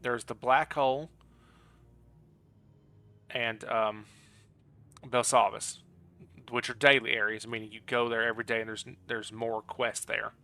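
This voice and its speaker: Bright-voiced man chatting while gaming. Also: mid-range voice, level tone, unhurried, long pauses. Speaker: game streamer. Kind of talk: casual live gameplay commentary and chat.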